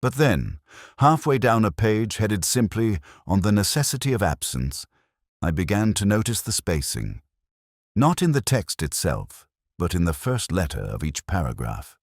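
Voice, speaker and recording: A man narrating a first-person detective story in a measured, literary style, brooding, deliberate and understated in his delivery. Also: on the low side, very animated, no echo, clean studio sound.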